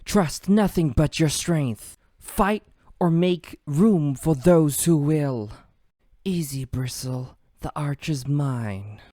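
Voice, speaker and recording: A man's voice: fairly high pitch, slow pace, mellow, no room sound, studio quality, voice pushed up. A man voicing a confident adult female fighter, cool, proud and self-assured.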